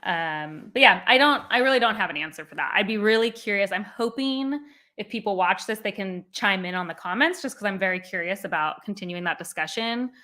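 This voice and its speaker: Woman, book channel host, bright voice, casual rambling chat, many fillers. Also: medium pitch, animated, brisk. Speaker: booktube host.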